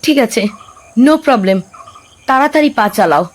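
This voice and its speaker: A woman's voice: a little high, animated, unhurried. An actress playing a frightened, urgent woman in an audio horror story, bossily hurrying her companion. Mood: urgent, impatient. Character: frightened, urgent woman fleeing a sinister island.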